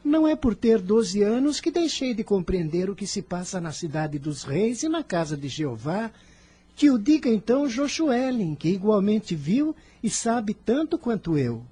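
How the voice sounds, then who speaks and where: An actor voicing an earnest boy with a husky voice. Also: medium pitch, sing-song, unhurried, mellow. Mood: respectfully firm. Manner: formal, articulate, solemn declamation. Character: earnest, outspoken twelve-to-fifteen-year-old boy, solemn and wise. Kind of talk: dramatized religious radio serial acting.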